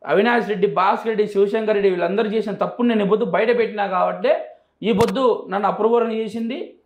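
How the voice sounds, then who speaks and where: A man's voice: sits high, fairly even intonation, quick pace. A man with a Rayalaseema Telugu accent answering interview questions emphatically, repeating phrases to drive points home. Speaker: interview guest. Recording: echoey, roomy sound.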